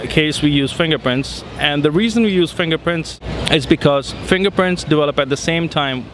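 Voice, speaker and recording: A man in his thirties from India with an Indian accent, answering interview questions outdoors in a city square, pausing often with fillers. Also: a little high. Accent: Indian, Punjab. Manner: hesitant, many fillers. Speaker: interview guest. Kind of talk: casual interview. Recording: street traffic noise behind. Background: city traffic.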